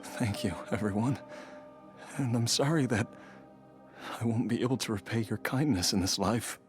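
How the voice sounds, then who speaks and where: A man's voice: medium pitch, moderate pace, close to an everyday voice. A man playing an ailing, resigned and devoted husband and father in a video game, weary and earnest. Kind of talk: dramatic video game voice acting. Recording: music underneath. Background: background music.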